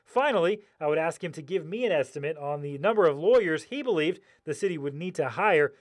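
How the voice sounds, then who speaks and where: A man's voice: on the high side, lively intonation, fairly quick. A man narrating a scripted television news report.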